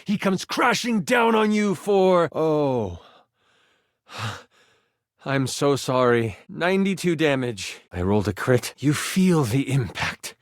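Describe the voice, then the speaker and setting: A man voicing an excitable twenty-sided die in a video game, narrating a fantasy tabletop adventure as a dramatic, expressive game master. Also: fairly high pitch, slow, dry recording, clean studio sound. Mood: excited, then apologetic. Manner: theatrical, expressive storytelling with sighs and asides.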